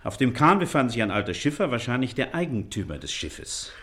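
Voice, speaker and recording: Earnest gentleman, radio play, precise, touchy, stiffly polite, medium pitch, varied melody. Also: big pitch swings, brisk. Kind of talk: German radio play acting.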